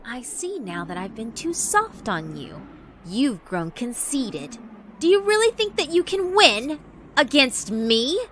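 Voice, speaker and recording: A woman voicing a proud, conceited female fire yokai with haughty, theatrical, drawn-out taunts for a visual novel. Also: fairly high pitch, very animated, a bit bright, a little hiss or hum, voice pushed up, exaggerated voice. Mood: haughty, threatening. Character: proud, conceited fiery female school yokai with flaming hair. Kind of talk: dramatic visual novel voice acting. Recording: music behind the voice.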